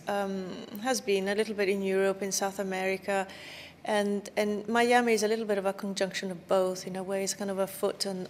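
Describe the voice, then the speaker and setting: Woman curator talking through a show, pretty flat delivery, lots of ums, big echoey gallery with faint hum. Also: medium pitch. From Italy. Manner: thoughtful, fluent with many fillers and restarts. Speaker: exhibition curator. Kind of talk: explaining an exhibition in an interview. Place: indoor art gallery exhibition. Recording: reverberant gallery with faint background noise.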